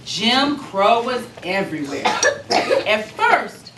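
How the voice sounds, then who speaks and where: A woman's voice: fairly high pitch, sing-song, slow pace. An American woman author talking and reading aloud to young children, explaining things simply and often asking them questions. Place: school room with young children. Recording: echoey room, distant mic, background noise.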